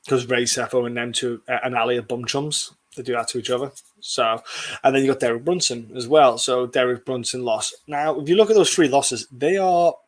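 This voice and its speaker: Blunt man chatting about fights. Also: medium pitch. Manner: blunt, rambling, swears freely. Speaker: podcast host. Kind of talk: casual sports prediction chat.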